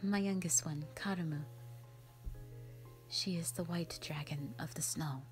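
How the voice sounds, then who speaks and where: A woman acts out an ancient she-dragon, gentle and protective of her kin. Her voice is low and hushed, almost all breath, and it moves very slowly, halting under old sorrow. It plays as an intimate audio drama monologue, with music behind it. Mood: solemn, weary. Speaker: audio roleplay performer. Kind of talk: soft whispered dramatic monologue, ASMR-like.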